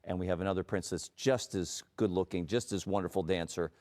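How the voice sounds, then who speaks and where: A man's voice: low-pitched, steady tone, brisk, a little mellow. A middle-aged man guest on a TV morning show, speaking sincerely and reflectively as a parent. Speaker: parent and ballet creator. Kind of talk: TV interview.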